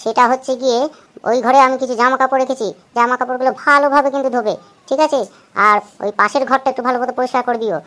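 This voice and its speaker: A woman playing a bossy working wife who gives brisk orders. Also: high-pitched, steady tone, a bit bright. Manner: brisk, commanding. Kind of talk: comedy sketch acting.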